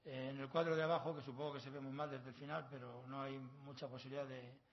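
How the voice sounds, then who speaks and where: A man's voice: mid-range voice, little pitch movement, fast. A middle-aged man from La Mancha, Spain, with a Castilian accent, giving an academic conference lecture as a professor in an erudite, discursive manner. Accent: Castilian Spanish. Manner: erudite, discursive, with proverbs and learned asides. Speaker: university professor, conference speaker. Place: long conference hall. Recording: slightly dull, lo-fi sound.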